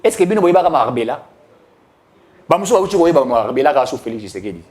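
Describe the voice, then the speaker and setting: A man's voice: medium pitch, big pitch swings, very fast, a little mellow. A man giving emphatic, declamatory political commentary, mixing French with Lingala.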